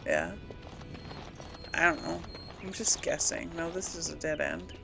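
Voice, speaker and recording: A woman's voice: low-pitched, very animated, unhurried, creaky, music in the background. A woman hosting a casual let's play, musing aloud informally and hesitantly as she talks and role-plays through a game. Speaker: gaming video host.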